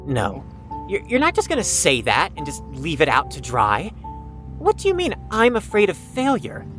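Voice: mid-range voice, huge pitch swings, moderate pace, a bit bright, soft background music. An actor playing an anxious, proud fifteen-year-old in an audio drama, speaking formally and precisely and stammering when flustered. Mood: indignant, flustered.

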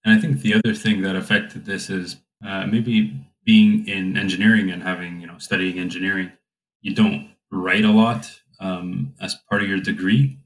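A young man hosting a relaxed conversation, talking in a thoughtful way with plenty of fillers. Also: low-pitched, normal speed, low-quality recording. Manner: reflective, with frequent fillers. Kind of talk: casual conversation.

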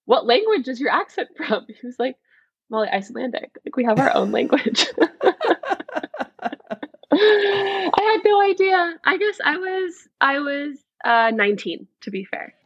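A woman's voice: high-pitched, very animated, measured pace, dry recording, muffled recording. A young woman co-hosting a podcast, chatting casually, laughing often and asking curious questions. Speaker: podcast co-host.

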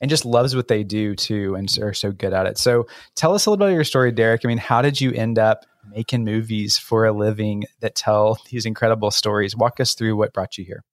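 A man's voice: on the low side, a little fast, hardly any pauses, no room sound, studio quality. A man with an American accent co-hosting a podcast interview, talking informally and effusively. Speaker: podcast co-host.

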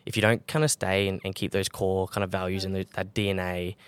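A young man speaks quickly in a low, smooth, resonant voice. His delivery is calm and relaxed, full of fillers and self-corrections. The close mic sounds dry. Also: no room sound. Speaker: guest, brand co-founder. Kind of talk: casual podcast interview.

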